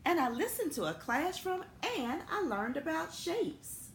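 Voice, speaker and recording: Storybook role: childlike, earnest talking school building, acted out by a woman, lifted pitch, echoey room. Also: sing-song, measured pace, exaggerated voice. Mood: eager, hopeful. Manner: tentative, innocent, earnest. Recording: echoey room with noticeable background noise.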